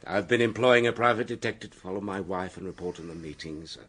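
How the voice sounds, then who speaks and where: Deep, husky, ashamed British husband. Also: male voice, a bit bright. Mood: ashamed, confessing. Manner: halting, apologetic. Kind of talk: BBC radio drama acting.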